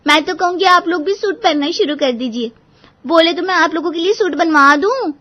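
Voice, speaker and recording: A woman playing an outspoken, cheerful and cheeky young daughter-in-law in a Hindi animated moral story, chirpy and persuasive. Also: very high voice, moderate pace. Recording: dull, lo-fi audio.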